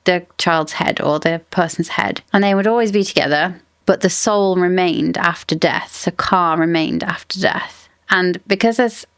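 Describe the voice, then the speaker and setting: A woman hosts a casual book podcast, musing out loud and circling back with "like" and "I don't know" as she works out her ideas. Her voice sits a bit low, and the recording is close and dry. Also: moderate pace, smooth, no echo. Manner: thinks aloud, rambling, lots of "like" and hedging.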